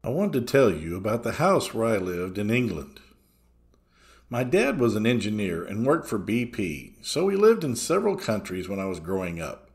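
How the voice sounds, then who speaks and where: A man's voice: lowish voice, very animated, normal speed. A man reading a first-person true story as a scripted narration, in a storytelling style.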